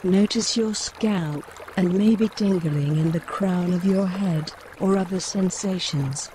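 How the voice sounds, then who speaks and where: A woman guiding a mindfulness body scan, giving gentle, measured instructions with pauses between phrases. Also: fairly low pitch, background noise. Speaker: meditation guide. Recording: running stream water behind voice. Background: trickling woodland stream.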